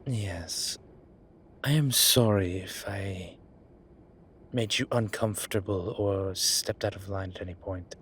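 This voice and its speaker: A man playing a polite, flirtatious incubus demon in an intimate roleplay audio drama, with hesitant, formal phrasing and a softness that turns breathy at times. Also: deep voice, a little hiss or hum, full character voice. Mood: sheepish, apologetic. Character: courteous, flirtatious male incubus demon, restrained and apologetic.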